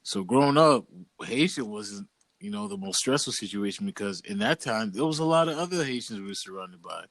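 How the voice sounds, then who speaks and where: Young male podcast co-host from Boston, relaxed and slangy, medium voice, dry recording. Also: mid-range voice, brisk, vocal fry, dry recording. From Boston, USA. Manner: laid-back, loose, slangy storytelling. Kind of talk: casual chat.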